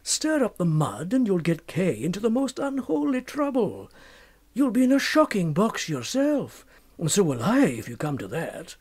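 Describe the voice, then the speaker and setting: A man's voice: high voice, wildly swooping pitch, moderate pace, darkish tone, breathy edge, heavily acted. A man voicing an unscrupulous, self-assured young doctor in a storybook, offhand and worldly as he persuades. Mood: coolly persuasive.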